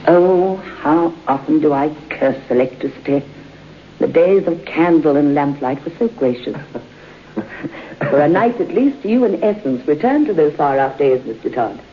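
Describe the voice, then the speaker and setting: A woman's voice: low-pitched, animated, unhurried, a little hiss or hum. An older woman acting an imperious old aristocratic lady in a radio horror drama, speaking formally and commandingly with theatrical delivery. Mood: wistful. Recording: dull, muffled old radio broadcast.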